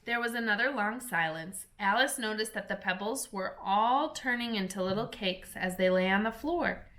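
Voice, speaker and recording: A woman reading a children's storybook aloud in a slow, careful, expressive way. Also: medium pitch. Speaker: storybook reader.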